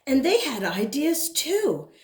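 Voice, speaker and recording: A woman reading a picture book aloud to children in an expressive way, with conversational asides. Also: high-pitched, slow. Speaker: storybook reader, host. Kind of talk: animated read-aloud for children. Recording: roomy indoor echo.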